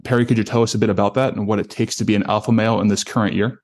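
Low-voiced male podcast host. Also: steady tone, rapid-fire, darkish tone. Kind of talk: casual interview chat.

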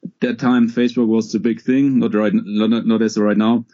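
A man, a guest in a casual interview, talking in a relaxed way with many fillers. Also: fairly low pitch, moderate pace, muffled recording. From Germany.

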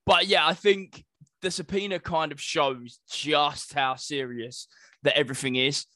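A man's voice: on the high side, fairly even intonation, measured pace, sharp tone, dry recording, clean studio sound. A British man co-hosting a podcast, chatting casually about the news. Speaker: podcast co-host.

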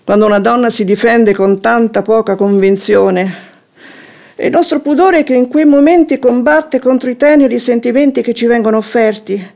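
Eloquent, scheming wife in classic stage comedy. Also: female voice, fairly high pitch, normal speed, gravelly, mellow. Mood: coy, confiding. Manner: formal, eloquent, theatrical phrasing. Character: shrewd, composed wife feigning seductive affection to trap a hypocrite. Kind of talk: theatrical radio drama acting of classic comedy.

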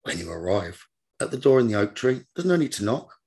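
Husky low-voiced man guiding meditation. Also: big pitch swings. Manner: slow, repetitive, gently instructing. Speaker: meditation teacher. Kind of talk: guided meditation.

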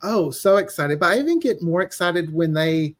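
A man's voice: high voice, lively intonation, normal speed. A man chatting casually as an interview guest, a writer who acts out quoted reactions as he explains. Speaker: podcast guest, audio drama writer.

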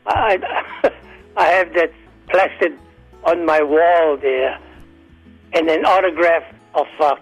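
An older man, an entertainer guest, reminiscing in casual chat with a hoarse, weakened voice and halting, rambling speech full of restarts. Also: high voice, fairly bright tone, rough recording.

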